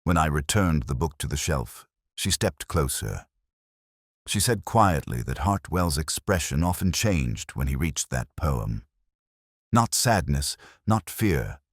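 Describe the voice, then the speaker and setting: A man playing a reflective, observant police inspector who narrates a detective audio drama in a measured, literary, brooding manner. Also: extremely low pitch, sing-song, no room sound, clean studio sound, heavily acted. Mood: pensive, measured.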